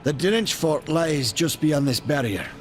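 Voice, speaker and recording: An actor playing a boastful, wry male maker in a video game cutscene, talking in a chatty, animated way. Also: on the high side, unhurried, music in the background. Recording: game score behind.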